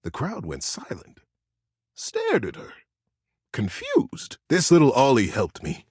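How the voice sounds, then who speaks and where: A man voicing a gentle, earnest male teddy bear in a video game, telling bedtime stories in a soothing, expressive way with dramatic pauses. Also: mid-range voice, husky, no room sound, studio quality, exaggerated voice. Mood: tender narrating.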